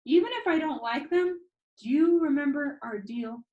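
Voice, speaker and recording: Casting: woman playing eager storybook schoolboy, self-doubting and dramatic, pushed higher, emphatic and pleading. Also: expressive, moderate pace, mellow, highs cut off. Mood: determined, pleading.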